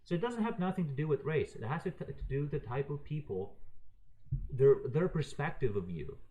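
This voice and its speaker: A man's voice: on the high side, lively intonation, fairly quick, warm tone, rough recording. A man raised in Croatia giving an unscripted, heated and profane opinion rant, talking to his viewers in an exasperated way. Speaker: video ranter.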